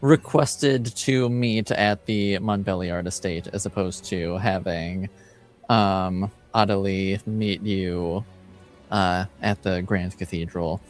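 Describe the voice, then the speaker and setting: A man improvising narration as game master of a tabletop roleplaying game, halting as he searches for words. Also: deep voice, steady tone, soft background music.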